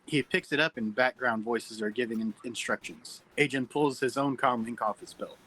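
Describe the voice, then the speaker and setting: A man's voice: medium pitch, normal speed, bright, forceful tone, a little hiss or hum, rough recording. A man serving as narrator, reading screenplay stage directions at a table read.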